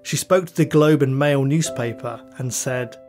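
A man hosting a true crime podcast, reading scripted narration in a measured, dramatic storytelling manner. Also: mid-range voice, a little mellow. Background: background music.

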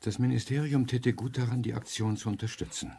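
An actor playing a principled, defiant professor in a German radio drama, earnest and formal. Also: male voice, mid-range voice, fairly even intonation, a little fast, gravelly, warm tone, slightly rough. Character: principled, defiant professor serving as civil servant.

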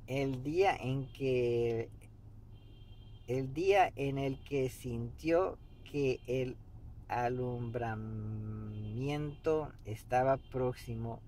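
A man in his fifties reading a book aloud meditatively in a halting way, pausing mid-sentence, recorded inside a car. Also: medium pitch. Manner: halting, pauses mid-sentence, clears throat. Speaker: amateur reader of a book. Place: inside a parked car by a street. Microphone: camera an arm's length or so away. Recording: steady background noise inside a car.

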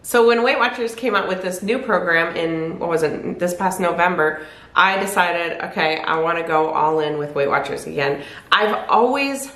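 A woman's voice: on the low side, normal speed, fairly bright tone. Chatty woman in her fifties, echoey room. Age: 50s. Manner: rambling, candid, self-correcting. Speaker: weight loss vlogger. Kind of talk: casual chat to camera. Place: living room by a fireplace, indoors. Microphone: camera at desk distance.

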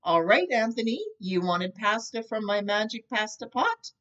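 A woman voicing a firm, fair old village witch in a storybook, speaking in measured commands. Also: fairly high pitch, big pitch swings, fairly bright tone, highs cut off. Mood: firm, stern.

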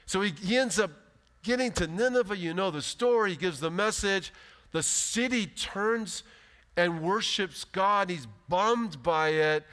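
A pastor in his fifties giving an animated, emphatic sermon from a church stage. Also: high-pitched, a little slow. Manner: animated, emphatic preaching with big gestures. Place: large church stage, indoors. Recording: long hall reverb.

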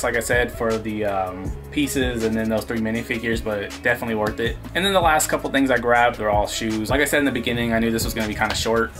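A young man with an American accent talks casually to his viewers. His pace is brisk and his pitch sits in the middle of the male range. Music plays clearly behind him. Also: a bit bright. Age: young adult. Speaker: vlog host, thrift reseller. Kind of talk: casual vlog chat to camera. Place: small indoor room with shelves of collectibles.

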